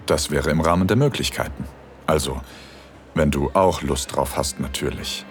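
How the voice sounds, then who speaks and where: A man playing a relaxed, teasing, seductively commanding husband in an erotic audio drama. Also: a bass voice, moderate pace, soft background music. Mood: relaxed, agreeable.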